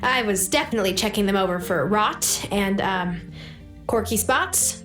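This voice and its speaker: A woman's voice: mid-range voice, lively intonation, normal speed, echoey room, music in the background, natural voice. A woman playing a good-natured, slightly wry young farmer in a video game. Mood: friendly. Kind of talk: video game character voice acting.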